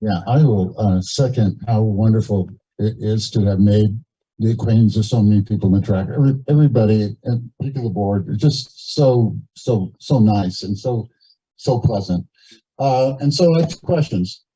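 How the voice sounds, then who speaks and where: A man with a very dark, husky voice speaks up at a community meeting. He talks informally and haltingly, often repeating a word as he goes. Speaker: cabin owner speaking from the floor at a meeting. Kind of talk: informal meeting remarks and questions. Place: community meeting, captured on a video call. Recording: muffled low-fidelity meeting audio over video call.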